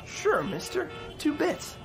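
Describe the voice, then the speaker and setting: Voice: high-pitched, big pitch swings, slow, gravelly, music in the background, heavily acted, low-quality recording. A performer in a radio drama playing an eager, chatty street-corner newspaper boy with slangy delivery.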